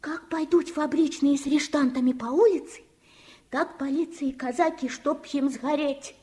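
Actress as high-voiced, lamenting household nanny. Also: female voice, steady tone, moderate pace, a little mellow, natural voice. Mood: fearful. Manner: lamenting, folksy, dramatic. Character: superstitious, emotional servant nanny woman, fretful and lamenting. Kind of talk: Soviet radio play acting.

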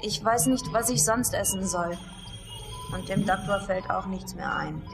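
An actress playing a blunt young competitive swimmer, answering tersely and deadpan in a German radio drama. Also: female voice, medium pitch, a little slow, gravelly. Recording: music behind the voice.

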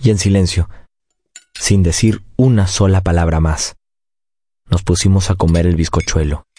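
A man narrating a literary radio story in a measured, reflective, dramatic way. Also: deep voice, steady tone, dark tone.

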